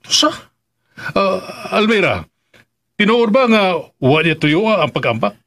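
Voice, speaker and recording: An actor playing a concerned middle-aged father in a radio drama, with a husky voice. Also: high voice, big pitch swings, measured pace, fairly bright tone, full character voice. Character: concerned middle-aged father, Rolando, questioning his son.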